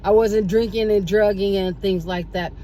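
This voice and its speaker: A woman in her forties talking casually to her viewers from inside her car, unhurried and reflective, with many fillers and self-corrections. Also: medium pitch, steady tone, dark tone, slight background noise. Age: 40s. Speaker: vlogger. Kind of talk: casual reflective talk to camera. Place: parked car.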